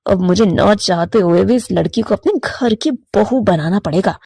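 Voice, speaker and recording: A woman's voice: medium pitch, normal speed, gravelly, mellow, slightly rough, no room sound, clean studio sound, pitched below the performer's own voice. A woman voicing a worried, long-suffering middle-aged mother crow in a cartoon story, fretful and motherly. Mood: fretful, grumbling. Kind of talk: animated cartoon moral story.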